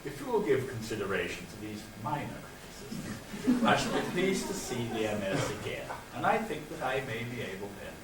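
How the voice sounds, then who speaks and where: A man's voice: mid-range voice, big pitch swings, normal speed, hardly any pauses. A man reading formal business letters aloud to an audience. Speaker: reader of publishers' letters. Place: conference hall. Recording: echoey room, distant mic, loud noise.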